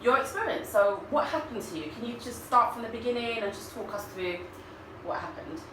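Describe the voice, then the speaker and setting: A woman's voice: fairly high pitch, expressive, fairly quick. A woman interviewer asking questions in an upbeat, encouraging way. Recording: echoey room, far from mic, background noise.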